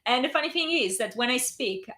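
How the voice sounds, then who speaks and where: A woman with a strong Italian accent reads a story aloud on a live stream, and she often breaks off for chatty asides. Her voice is bright and animated, and it rises and falls a great deal. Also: high-pitched, fairly quick. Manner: lively, chatty, self-conscious asides. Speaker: fan fiction reader and live streamer.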